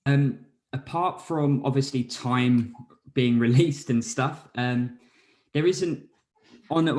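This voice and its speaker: Measured British musician, man. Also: mid-range voice. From Britain. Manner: measured, thoughtful, some stumbles. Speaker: band member. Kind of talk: casual interview answers.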